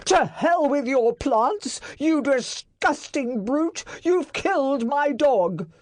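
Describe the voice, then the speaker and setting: A woman voicing a grief-stricken man who shouts and bellows in rage over his dead dog. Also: high-pitched, lively intonation, slow pace, no room sound, clean studio sound, voice pushed up. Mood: furious. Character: enraged grieving man, dog owner.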